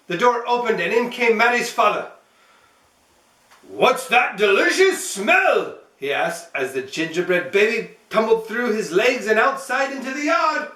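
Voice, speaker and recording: A man narrates a picture book for children in a playful, expressive way. His pitch moves around a great deal as he reads. The sound is echoey and slightly away from the mic. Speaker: host reading aloud to children. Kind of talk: animated storybook narration. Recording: roomy echo, mic at some distance.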